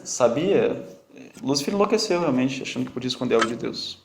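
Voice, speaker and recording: A man with a strong non-native accent teaching a Bible study class, quizzing and teasing his students in a lively, joking way. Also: medium pitch, fairly quick, creaky, noisy background. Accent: strong non-native accent in Portuguese. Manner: jokey, quizzes and teases students. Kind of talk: interactive classroom teaching with questions to the group. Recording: echoey room.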